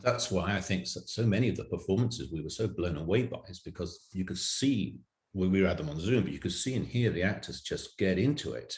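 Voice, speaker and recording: A man's voice: deep voice, expressive, fairly quick. A man talking conversationally as an interviewed audio drama producer. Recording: roomy, echoey remote recording.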